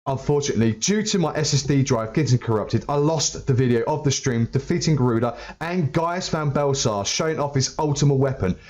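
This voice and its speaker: A man with a medium-pitched voice narrates as a channel host. The delivery is scripted and addressed to his viewers. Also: a little mellow.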